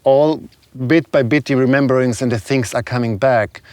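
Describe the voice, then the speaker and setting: Guy with a european accent, non-native english, thoughtful halting interview chat, mid pitch, really dry sound. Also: a little mellow, dry recording. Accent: Continental European. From Serbia, moved to Germany as a child. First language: not English. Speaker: guest, shaman and spiritual healer. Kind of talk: conversational interview talk.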